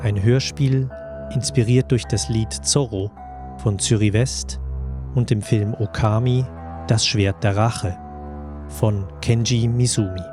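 A man's voice: fairly low pitch, fairly even intonation, takes it slowly. A man reading scripted closing credits for an audio drama as its announcer. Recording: clear music bed behind the voice.

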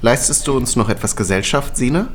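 An actor playing an affectionate, mischievous young man in a naturalistic, conversational audio drama style with a husky voice. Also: mid-range voice, animated, a little fast, a little hiss or hum, natural voice. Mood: polite, casual.

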